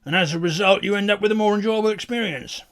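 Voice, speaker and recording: Man playing a slow, pompous battle-weary fantasy warrior. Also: high voice, fairly bright tone, pitched above the performer's own voice. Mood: instructive, pompous. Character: battle-weary, proud fantasy warrior musing on his career. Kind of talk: in-character comic monologue.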